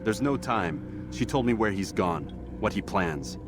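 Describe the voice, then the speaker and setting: A man's voice: lowish voice, expressive, unhurried, noisy background. A man playing a stern, resolute young medieval assassin in a video game, speaking tersely and seriously. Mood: urgent, resolute. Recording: game music under the dialogue.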